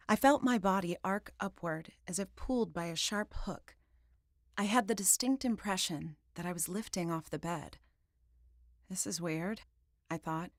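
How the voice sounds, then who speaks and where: Low-voiced woman narrating a novel. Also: no room sound, studio quality. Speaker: audiobook narrator. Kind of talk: scripted first-person fiction narration.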